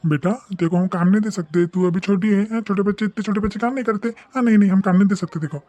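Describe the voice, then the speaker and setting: Guy playing kindly flustered snack shop boss, high for a man, talks fast, repeats himself, cartoon. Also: steady tone, warm tone, dry recording, lightly acted. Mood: firm, refusing. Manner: repetitive, avuncular, mixing Hindi and Marathi. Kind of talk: animated moral story dialogue.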